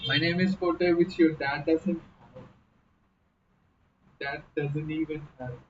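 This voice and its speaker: A man's voice: on the high side, steady tone, slow pace. A young man with a Malayalam accent, chatting casually with viewers on a live stream from a small room at home. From Kerala, India. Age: young adult. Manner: chatty, laughing, reading chat aloud. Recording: slightly dull audio with faint noise.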